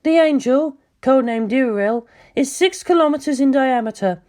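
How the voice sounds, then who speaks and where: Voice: sits high, animated, normal speed, a little mellow, pitched above the performer's own voice. A narrator voicing a weary woman scientist in clipped, precise speech for an audiobook. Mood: clinical briefing.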